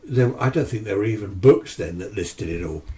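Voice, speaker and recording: Old british guy rambling happily about his hobby, mellow voice, bit far from the mic. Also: on the low side, lively intonation, normal speed. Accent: British English. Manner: chatty, enthusiastic, asks and answers himself. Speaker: amateur radio hobbyist presenter. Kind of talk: casual rambling chat to camera. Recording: distant microphone.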